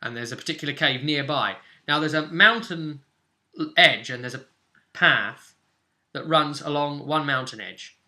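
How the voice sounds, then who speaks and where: A man's voice: on the high side, unhurried, pushed and bright. A man hosting as game master, casually explaining a tabletop role-play session in loosely phrased speech with asides.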